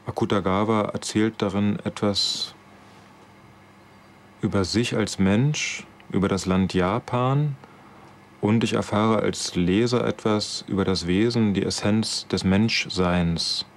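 A man in his forties from Germany giving a reflective interview as a director, speaking in measured, halting short phrases. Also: fairly low pitch, steady tone, slight background noise. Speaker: radio drama director. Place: indoors, radio studio control room.

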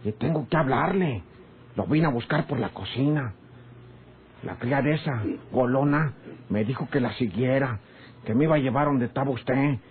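An actor with a northern Mexican accent playing a sly, quarrelsome, rustic ranch hand in a radio drama, his voice husky. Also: medium pitch, normal speed, slight background noise, close to an everyday voice. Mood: agitated. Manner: rustic, colloquial. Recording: muffled old radio serial recording.

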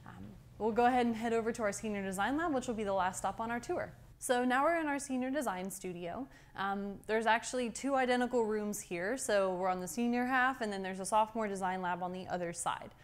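A woman's voice: medium pitch, a little fast. A young woman giving an informal, upbeat and explanatory guided campus tour as a student guide. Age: young adult. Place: indoor university labs and hallway. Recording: steady clear background room noise.

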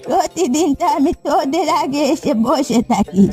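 A woman's voice: high voice, animated, moderate pace, a little mellow, soft background music. A woman voicing a bossy but kind old village granny in a cartoon fairy tale, talkative and fondly scolding. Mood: teasing.

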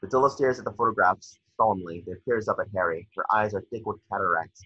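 A man reads stage directions in a measured, slightly stumbling way. His voice is low, raspy and forceful, captured dry through dull, lo-fi video call audio. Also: no room sound. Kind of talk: scripted narration at a table read.

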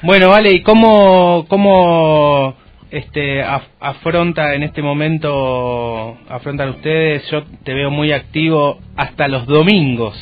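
A man's voice: a little high, takes it slowly, narrow, tinny audio, faint music behind. A man with a Rioplatense Argentine accent, interviewing conversationally as a radio host.

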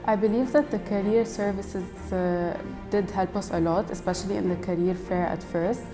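Young woman from Lebanon, mid-pitched, over music. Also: fairly even intonation, normal speed. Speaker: business school student giving a testimonial. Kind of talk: interview testimonial. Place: indoors against a plain wall and banner. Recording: reverberant hall, music underneath. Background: clear background music.

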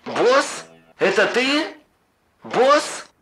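A man's voice: very high voice, big pitch swings, very slow, bright, forceful tone, soft background music, heavily acted. A man voicing an earnest mission operator over the radio in a parody cartoon dub, giving businesslike instructions. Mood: alarmed.

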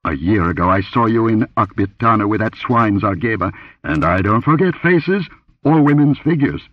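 A man voicing an angry, contemptuous barbarian warrior, barking and rumbling his words in a pulp adventure story. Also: sing-song, moderate pace, slightly rough, dry recording, recorded from a distance. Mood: contemptuous triumph. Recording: dull lo-fi audio.

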